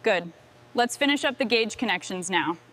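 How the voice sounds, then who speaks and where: A woman leading a restoration crew, giving calm, clipped instructions in scripted workshop dialogue. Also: medium pitch, moderate pace, low-quality recording.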